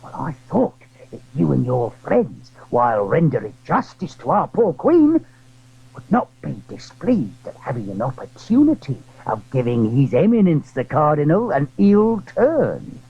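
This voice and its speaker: Through a slightly muffled old recording comes a man acting a worried, courteous landlord in an adventure audio drama. His husky voice is lively in pitch, earnest and confiding, with a halting delivery. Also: no echo, heavily acted. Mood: earnest, flattering.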